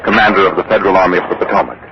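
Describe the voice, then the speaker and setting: An actor playing an earnest war correspondent, reporting live in a formal 1940s radio news style. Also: male voice, low voice, rapid-fire. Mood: businesslike. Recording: muffled, very noisy old radio recording.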